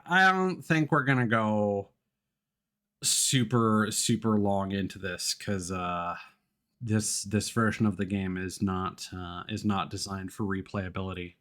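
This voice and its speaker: A man doing casual live commentary as he streams a video game. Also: low voice. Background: loud video game music.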